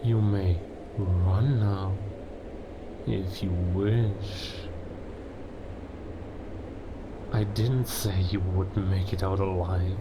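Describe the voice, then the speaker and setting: A man playing a sinister, grandiose beast king in a cinematic audio drama, speaking in a deliberate, taunting way. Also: low voice, steady tone. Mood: taunting menace. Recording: noisy ambience behind the voice.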